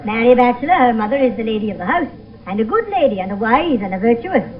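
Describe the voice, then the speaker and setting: A woman's voice: a little high, lively intonation, quick pace, lightly acted. A woman playing a talkative, fussing older nursemaid, proud and emphatic, in a 1970s radio Shakespeare. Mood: proud, gossipy. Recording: muffled old radio, faint background noise.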